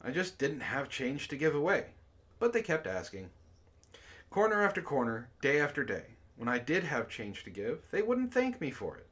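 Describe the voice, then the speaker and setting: Bright, animated man reading aloud. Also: fairly high pitch, normal speed. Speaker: host and reader of a comic book club stream. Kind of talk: reading a novel aloud with casual asides.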